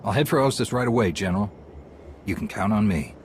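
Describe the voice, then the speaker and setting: An actor playing a steady, heroic Jedi commander in a video game, composed and earnest. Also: male voice, lowish voice, fast, slight background noise, close to an everyday voice. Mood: composed, determined. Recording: background music under the voice.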